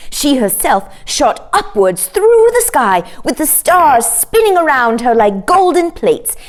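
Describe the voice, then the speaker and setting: A woman storyteller narrating a children's story in an expressive read-aloud style. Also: a little high.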